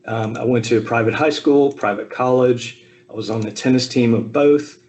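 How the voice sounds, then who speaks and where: A man in his fifties from the American South, giving a relaxed personal talk over a video call with frequent ums and asides. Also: medium pitch, normal speed, slight background noise. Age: 50s. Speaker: speaker at a Zoom talk. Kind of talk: personal family history talk.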